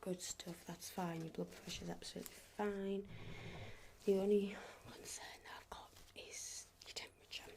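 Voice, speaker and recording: A woman speaking softly and reassuringly in an ASMR medical roleplay as a clinician, often dropping to a whisper. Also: medium pitch, flat delivery, moderate pace, vocal fry. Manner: gentle, reassuring, often checking with "okay?".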